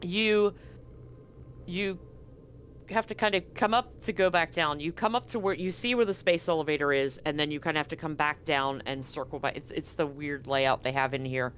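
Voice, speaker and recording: A man's voice: on the high side, moderate pace, a bit bright, a little hiss or hum. A man narrating as game master of a tabletop roleplaying game, casual, with fillers.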